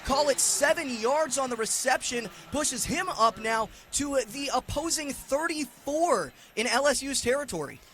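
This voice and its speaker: A very high-pitched man delivers live radio sports commentary over clear background noise. He follows the action excitedly and breaks into shouts when the play gets big. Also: unhurried, hardly any pauses. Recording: clearly noisy live broadcast sound.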